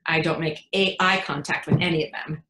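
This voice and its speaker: A woman playing an anxious, protective mother in a frank, wry, confiding dramatic monologue, pausing for emphasis. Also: low-pitched, fairly even intonation, pushed and bright. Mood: earnest, anxious. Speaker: actor in a readers theatre performance. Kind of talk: dramatic stage monologue, conversational storytelling. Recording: echoey room sound.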